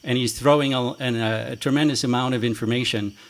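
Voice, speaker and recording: A man hosting an outdoor festival screening, talking in a loud, upbeat way and laughing as he thanks the performers. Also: mid-range voice, steady tone, fairly quick. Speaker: festival host. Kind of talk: live stage introduction.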